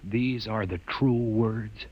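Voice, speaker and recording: An actor playing an impetuous young man who doubts sacred teaching, speaking earnestly and forcefully in 1950s radio drama style. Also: lowish voice, fairly even intonation, takes it slowly, faint music behind. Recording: dull 1950 radio recording.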